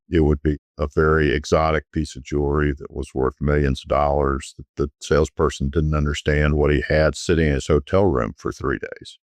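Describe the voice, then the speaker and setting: A middle-aged man, a guest recalling his years selling private jets, talking in a relaxed, rambling way with frequent restarts. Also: a bass voice, no room sound. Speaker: guest, former private jet sales executive. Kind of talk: conversational storytelling.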